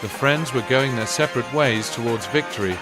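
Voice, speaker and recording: A man giving a measured, formal scripted narration of wartime history for a video game, in a solemn storytelling manner. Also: mid-range voice, lightly acted. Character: solemn male narrator of a Second World War history. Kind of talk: scripted documentary-style war narration. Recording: loud music under the voice. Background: loud music.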